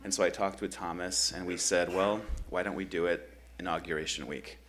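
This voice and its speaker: A man with a deep, low voice leads a grief workshop in a church meeting room. He talks in a loose, conversational way, pausing often with ums as he sets up the session. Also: slight background noise. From Michigan. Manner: relaxed, conversational, many ums. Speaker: grief group facilitator.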